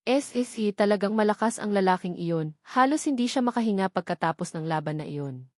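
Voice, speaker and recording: A woman's voice: mid-range voice, lively intonation, brisk, darkish tone, no echo, studio quality, natural voice. Woman reading modest young martial hero. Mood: modest, curious.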